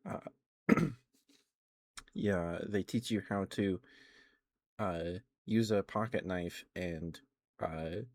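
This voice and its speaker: A man hosting an ASMR livestream, speaking softly and intimately and slipping into whispers. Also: low-pitched, extremely slow, long pauses, dry recording.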